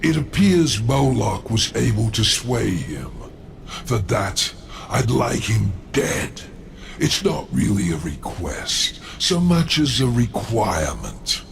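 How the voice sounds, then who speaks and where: An actor playing a proud, scheming demon lord in a video game, speaking with gravelly, imperious menace. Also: male voice, very animated, slight background noise, full character voice. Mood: calculating, menacing. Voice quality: gravelly, low. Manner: imperious, deliberate, silky menace. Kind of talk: video game cutscene acting.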